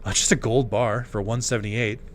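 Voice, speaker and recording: A young man streaming a game live, chatting loosely with many fillers while his voice starts to go hoarse. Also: on the low side, fairly even intonation, normal speed, a little hiss or hum. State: voice going hoarse. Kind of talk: casual live-stream chat while gaming.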